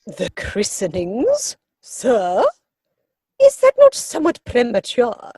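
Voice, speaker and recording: A woman performs a haughty older noblewoman in a comic stage play. Her voice is fairly high with a husky edge, recorded dry and close. She delivers each pronouncement with pompous, commanding weight. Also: huge pitch swings, slow pace, mellow, no echo, heavily acted. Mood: disapproving. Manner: pompous, commanding, pointed emphasis.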